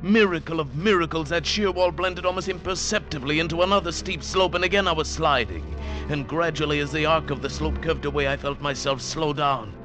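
An actor playing a mountaineer who recounts his ordeal in dramatic, deliberate phrases, in the style of mid-century radio drama. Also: on the high side, natural voice. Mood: urgent, breathless suspense. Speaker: narrator and lead actor. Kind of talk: 1940s-50s radio drama narration. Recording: vintage radio recording with music behind.

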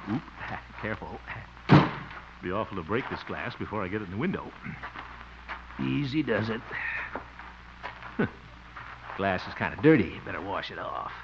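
An actor playing a blustery, indulgent middle-aged American man in a 1950s radio comedy. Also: sits low. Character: blustery, indulgent, easily flustered grown man living with his mother. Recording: noisy, muffled old radio recording.

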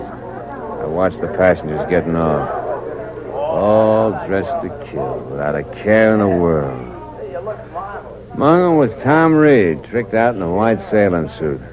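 A young jailbird from a vintage radio thriller. His voice is deep, weary and bitter, with slangy hard-boiled delivery, on a muffled recording with clear hiss and noise. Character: weary, bitter young male ex-convict, mortally wounded. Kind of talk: 1940s radio crime acting. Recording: dull, noisy old radio recording.

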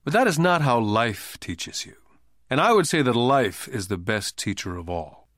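A shrewd grown-up boss and mentor, read by a man for an audiobook. He lectures in a wry, measured manner, with lively swings of pitch and a dry, close sound. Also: no room sound, close to an everyday voice. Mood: calm, instructive.

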